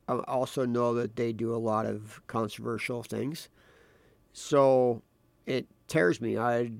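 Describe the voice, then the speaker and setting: A man with a raspy voice, sick with a cold, co-hosting a casual political podcast and joking and hesitating as he talks. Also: mid-range voice, dry recording. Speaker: podcast co-host.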